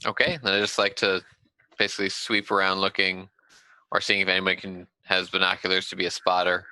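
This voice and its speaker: Male tabletop player. Lower voice, very bright edge, casual, close and dry. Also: flat delivery, fairly quick, clean tone, no echo, low-quality recording. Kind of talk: casual chat during a roleplaying game.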